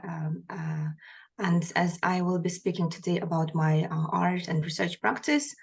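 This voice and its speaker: A woman's voice: low-pitched, a little mellow. A woman whose first language is Kazakh, from Kazakhstan, giving a conference presentation over a video call in a hesitant way, with many fillers and restarts. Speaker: artist presenting research.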